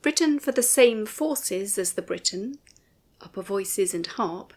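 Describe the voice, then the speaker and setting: A woman narrates a scripted commentary. Her delivery is very slow and measured, with careful, formal phrasing. Her voice sits at a medium pitch. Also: expressive, darkish tone. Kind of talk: scripted documentary commentary, read aloud.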